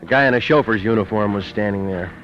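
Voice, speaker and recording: A deep-pitched male actor as a cynical private detective. He talks terse and deadpan, wisecracking in hardboiled radio style. The sound is dull, with background noise from an old recording. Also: fast, natural voice. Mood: wry narration. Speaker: radio drama actor, lead and narrator.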